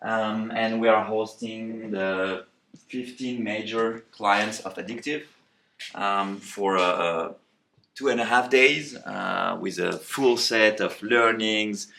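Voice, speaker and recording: A man in his forties with a French accent, answering quick questions on a tour of his company's office, with many fillers and restarts. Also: lowish voice, creaky, pushed and bright, slight background noise. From France. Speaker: company co-founder. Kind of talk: rapid-fire walking interview. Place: company office in Paris, indoors and terrace.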